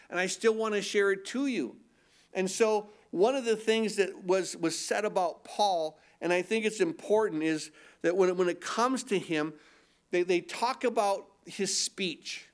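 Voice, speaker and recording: A man with a nasal voice preaching a sermon as pastor, talking conversationally and throwing in rhetorical questions. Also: sits high.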